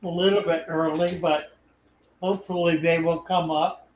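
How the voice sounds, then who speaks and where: An older man hosting a live stream, chatting in a slow, unhurried drawl. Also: a little high, level tone, crowd noise. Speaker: host. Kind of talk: casual live stream chat. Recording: echoey room.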